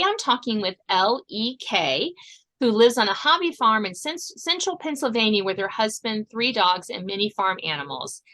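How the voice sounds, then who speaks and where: A woman's voice: medium pitch, pushed and bright. A woman hosting a podcast in a casual interview, chatty and given to laughing. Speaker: podcast host.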